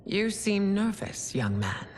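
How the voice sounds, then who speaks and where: A woman's voice: medium pitch, sing-song, slow, a little mellow, slight background noise. A woman voicing an imperious empress who speaks with regal condescension. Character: regal imperious female ruler, cold. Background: game score.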